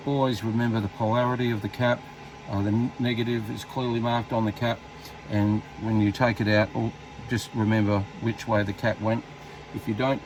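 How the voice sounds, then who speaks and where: An Australian man with a fairly low voice explains a hands-on job step by step. His delivery is casual and unscripted, with halting phrasing as he works. The homemade indoor recording carries some faint noise. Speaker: hobbyist demonstrating a radio repair. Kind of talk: casual hands-on tutorial, talking while working. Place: indoors at a repair workbench. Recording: clearly noisy amateur workbench recording.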